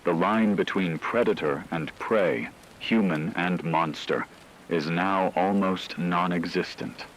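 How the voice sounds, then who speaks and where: A man's voice: low voice, takes it slowly, slight background noise, poor audio. A man reading a scripted emergency radio broadcast as a newscaster, in a grave, solemn manner. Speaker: radio newscaster in a horror story.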